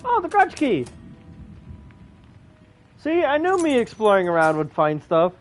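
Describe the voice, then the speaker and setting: A man's voice: high-pitched, huge pitch swings, measured pace. A man giving casual, off-the-cuff commentary while playing a video game. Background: faint game music.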